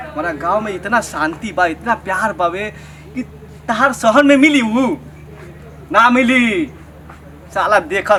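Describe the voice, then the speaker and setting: Man playing a high-pitched, pleading young village lad. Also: pushed and bright, a little hiss or hum, exaggerated voice. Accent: Bhojpuri. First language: Bhojpuri. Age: young adult. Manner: wheedling, boastful, repetitive. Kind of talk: comedy skit dialogue.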